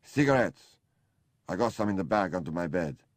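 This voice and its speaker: A man's voice: low voice, animated, normal speed, no room sound, clean studio sound, voice pushed up. A man reading an audiobook, voicing a crude, sick man who complains bluntly. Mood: complaining. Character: sick, surly, crude male companion.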